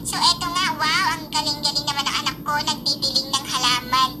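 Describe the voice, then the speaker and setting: A Filipino woman voicing a tiny chipmunk narrator through a pitch-up voice effect. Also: fast talker. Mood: playful demo. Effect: chipmunk pitch-up voice changer. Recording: very noisy phone speaker playback.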